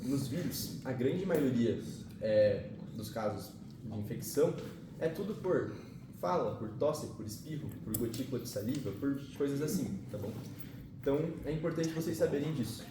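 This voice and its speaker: A man explains biology to his students in a loose, slangy way, swearing now and then and checking in with them often. His voice sits at an ordinary pitch and is picked up from a distance. The room is echoey and the recording is noisy. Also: lively intonation, normal speed. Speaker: biology teacher. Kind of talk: casual classroom lesson. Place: classroom.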